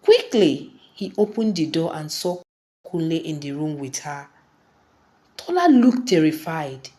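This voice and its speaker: Slow, expressive woman storyteller. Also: sits low. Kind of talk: scripted folktale narration.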